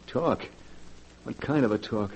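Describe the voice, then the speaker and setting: An actor playing a frightened, guilt-ridden accomplice who stammers and pleads, in a 1940s radio drama. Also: male voice, mid-range voice, a little slow, creaky, slightly rough. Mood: fearful. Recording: dull old radio recording.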